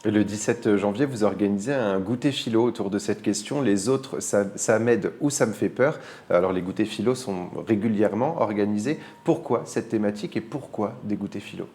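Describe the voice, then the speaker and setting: A man's voice: fairly low pitch, normal speed, a little mellow. A man hosting a radio magazine, introducing items and putting prepared questions to a guest. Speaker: radio host, interviewer.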